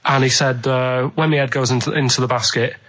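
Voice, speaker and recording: A man, a radio show regular, telling anecdotes casually in an earnest, halting, rambling and vague way. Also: mid-range voice, fairly even intonation, fairly bright tone.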